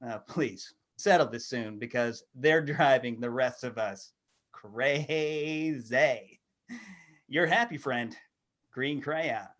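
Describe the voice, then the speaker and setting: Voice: medium pitch, takes it slowly, a bit bright. A performer voicing a laid-back, contented green crayon in a comic storybook reading, with a drawling, easygoing manner. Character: mellow, contented green crayon, slow peacemaker.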